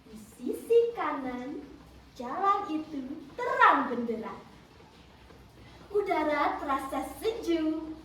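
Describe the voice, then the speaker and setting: Female storyteller, very animated and slow, heard at a distance in an echoey room. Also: extremely high pitch. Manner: dramatic, deliberate phrasing. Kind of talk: theatrical storytelling, scripted narration. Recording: roomy, distant mic with background noise.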